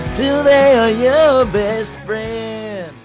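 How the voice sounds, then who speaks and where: Woman as gentle ballad singer, very slow, high voice, comedy song, guitar behind. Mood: earnest. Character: gentle singing ghost giving friendship advice.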